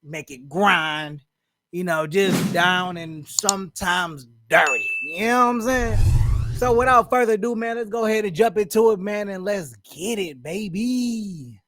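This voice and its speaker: A man's voice: sits high, unhurried, sharp tone, dry recording. A man hosting a reaction video, talking in a loose, joking, slangy way. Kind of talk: casual reaction commentary.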